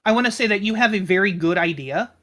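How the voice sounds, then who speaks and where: A man in casual tabletop game talk, explaining things in a deliberate, conciliatory way. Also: high-pitched, lively intonation, background noise.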